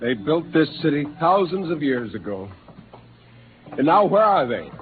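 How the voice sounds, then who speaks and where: Brooding spaceman, expressive, old radio. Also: a little high, measured pace, phone-quality sound. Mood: solemn, mournful. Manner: earnest, solemn, impassioned. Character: intense, brooding, idealistic spaceman, bitter and haunted. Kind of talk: 1950s radio drama acting. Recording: noisy band-limited old radio recording.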